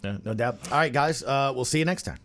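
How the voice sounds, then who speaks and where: An American man hosts a casual sports show. He speaks quickly with very animated intonation at a medium pitch. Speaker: podcast host.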